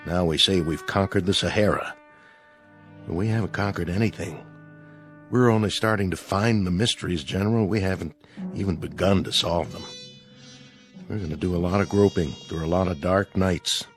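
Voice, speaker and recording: An actor playing a thoughtful male colonel in a radio drama, speaking in a grave, measured manner. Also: low-pitched, natural voice. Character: thoughtful, sober male colonel and spaceflight colleague.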